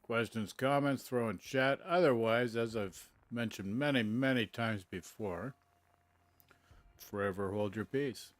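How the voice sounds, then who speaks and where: A man's voice: medium pitch, unhurried, no room sound. A man chatting casually on a live game stream, with grumbling asides.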